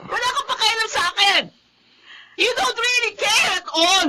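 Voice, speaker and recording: An actress playing a bitterly defiant, anguished young daughter in a melodramatic radio drama, sarcastic and tearful, slipping into English. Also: female voice, extremely high pitch, moderate pace, noisy background. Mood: tearful fury.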